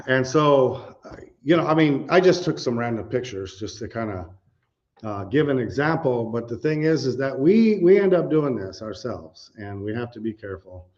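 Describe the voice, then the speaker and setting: A man's voice: medium pitch, expressive, moderate pace, darkish tone. A middle-aged man preaching in a loose, conversational way, often saying "you know" and asking his audience questions. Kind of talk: conversational teaching sermon with slides. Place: church meeting room with a responding audience. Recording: dull lo-fi recording.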